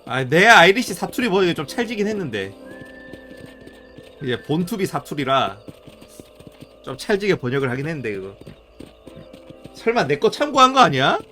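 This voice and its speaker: A man's voice: on the high side, big pitch swings, moderate pace, long pauses, sharp tone. Chatty young man streaming a game. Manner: chatty, musing aloud, talking to viewers. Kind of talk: casual live-stream commentary.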